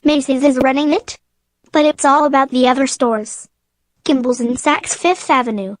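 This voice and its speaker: A woman's voice: high-pitched, normal speed, dry recording, close to an everyday voice. A woman playing an anxious, businesslike department store executive in a radio drama. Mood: flustered. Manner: earnest, worried.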